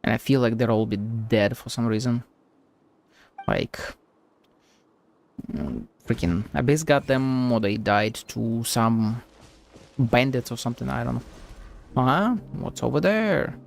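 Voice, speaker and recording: A man's voice: medium pitch, animated, a little slow, vocal fry, pauses often, slight background noise. A man giving thinking-aloud commentary as a game streamer, hesitant and musing.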